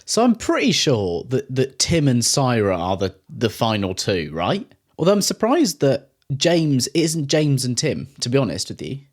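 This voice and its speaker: A man's voice: medium pitch, huge pitch swings, moderate pace, few pauses. Sarcastic, sweary man reacting to clips. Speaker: reaction video host. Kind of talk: casual reaction commentary.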